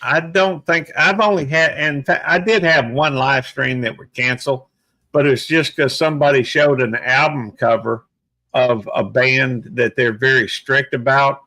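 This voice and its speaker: Male, 60s, livestream host, relaxed halting chat, dry mic. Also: mid-range voice, dry recording. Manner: plainspoken, halting with fillers. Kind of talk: casual chat.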